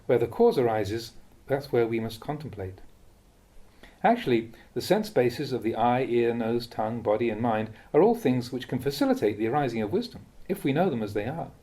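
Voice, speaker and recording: A man with a soft, mellow voice reads a Buddhist teaching aloud. His delivery is measured and contemplative, yet the pitch rises and falls expressively as he poses rhetorical questions. He sounds like a monk giving a reflective talk, at a medium pitch. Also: animated. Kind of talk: read-aloud dharma talk.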